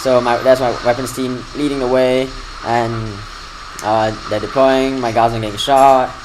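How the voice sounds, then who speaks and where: A man casually commentating over a replay of his own strategy game match. His delivery is fairly flat and steady, and it lifts when the action excites him. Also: medium pitch, unhurried, noisy background. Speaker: gaming channel host.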